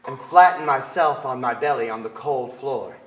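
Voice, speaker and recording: A man's voice: mid-range voice, moderate pace, fairly bright tone, natural voice. A man in his forties playing a sensitive, yearning writer confessing boyhood feelings in a theatrical staged reading on a large stage. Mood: yearning confession. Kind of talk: theatrical literary narration. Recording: echoey theater stage sound.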